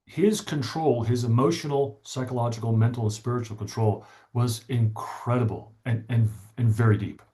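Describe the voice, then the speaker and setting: A man's voice: mid-range voice, moderate pace, hardly any pauses, dark tone. A middle-aged man speaking as an interview guest, explaining his story articulately and stressing points by repetition. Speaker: podcast guest, author.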